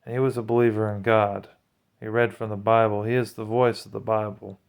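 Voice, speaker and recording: A man's voice: lowish voice, little pitch movement, a little fast, vocal fry, smooth. A man speaking casually and earnestly to viewers as a vlogger, giving admonishing religious testimony.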